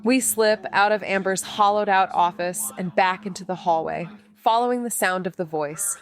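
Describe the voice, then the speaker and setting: An actress playing a reckless amateur sleuth who narrates her own noir story in a wry, deadpan, atmospheric way. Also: mid-range voice, moderate pace, close to an everyday voice. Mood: tense, hushed curiosity. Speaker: actor narrating a podcast drama. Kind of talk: first-person noir narration and dialogue.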